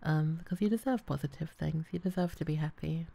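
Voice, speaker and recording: A woman's voice: low voice, brisk, gravelly, mellow. A woman speaks informally and affectionately to her listeners as the creator of an audio roleplay. She is encouraging, in the loose style of a casual outro.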